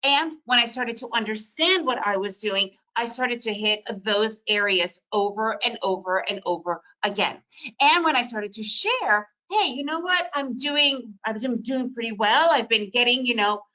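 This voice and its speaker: A middle-aged woman from the former Soviet Union, a native Russian speaker, giving an energetic, emphatic webinar talk on trading. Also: a little high, a little slow, poor audio. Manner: energetic, emphatic, repetitive phrasing. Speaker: trading educator. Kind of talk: webinar presentation.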